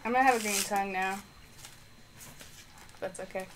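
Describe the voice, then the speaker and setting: A woman's voice: medium pitch, fairly even intonation, normal speed, very noisy. Chatty woman streaming a game live. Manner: chatty, thinking aloud and reading out chat. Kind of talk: live gameplay stream commentary. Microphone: microphone on the floor.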